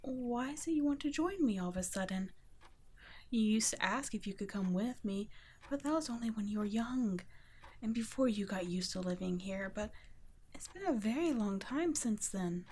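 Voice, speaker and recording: A woman playing a gentle, protective vampire caretaker in an intimate ASMR roleplay, speaking softly and breathily, often nearly whispering. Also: medium pitch, lively intonation, moderate pace, a little hiss or hum, lightly acted. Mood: gently firm. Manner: soft, breathy, tender, often dropping to a whisper.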